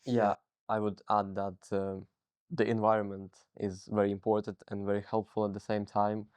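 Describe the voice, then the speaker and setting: A teenage boy speaking in a measured, articulate way as a student guest in a small studio. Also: fairly low pitch, little pitch movement, a little mellow, smooth, no echo. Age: teens. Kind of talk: casual interview chat. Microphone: lapel mic.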